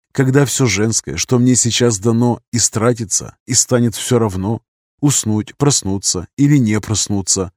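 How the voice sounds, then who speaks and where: A man playing a weathered, stern but loving husband in an audiobook, speaking in a measured, slow way. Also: on the low side, a little mellow, dry recording, studio quality, close to an everyday voice. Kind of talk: audiobook character reading.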